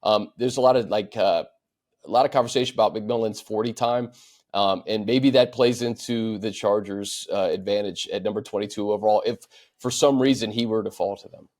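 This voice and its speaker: A man with a fairly low voice discusses sports as a show host. His delivery is conversational and a little halting, doubling back on words while he forms his point. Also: a little mellow.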